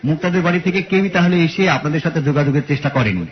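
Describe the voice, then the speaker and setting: A man's voice: on the high side, racing, lo-fi sound. A man with a Bangladeshi accent, a polite and formal radio reporter conducting a phone interview.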